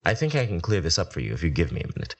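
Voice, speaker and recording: A brisk middle-aged male doctor who takes charge with easy confidence, as a woman narrator puts him on. The voice drops very low but still moves a lot in pitch. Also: very animated, slightly rough, exaggerated voice. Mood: assured.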